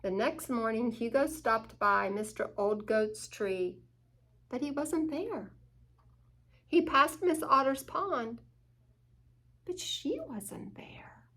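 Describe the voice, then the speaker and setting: A woman reading a children's picture book aloud in an expressive, deliberate storytelling manner. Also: on the high side. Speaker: storytime reader. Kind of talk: children's picture-book read-aloud with asides to young listeners.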